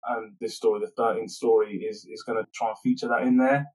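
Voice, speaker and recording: A man's voice: mid-range voice, level tone, fast talker, a little mellow, low-quality recording. A British man, a writer being interviewed, explaining himself casually in a loose, rambling, self-correcting way.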